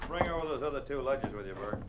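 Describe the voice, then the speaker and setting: Male actor as domineering party boss, mid-pitched, curt and doctrinaire, 1950s radio drama. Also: lively intonation, fast talker, lo-fi sound, very noisy, natural voice. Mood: businesslike, curt. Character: cold domineering communist party official.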